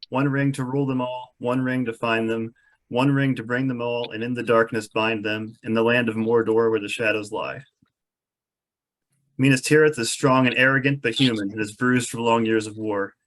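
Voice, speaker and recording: Male narrator, mid-range voice, measured storyteller reading scene descriptions from a script. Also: medium pitch, fairly bright tone. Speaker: narrator reading stage directions. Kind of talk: scripted narration at a table read.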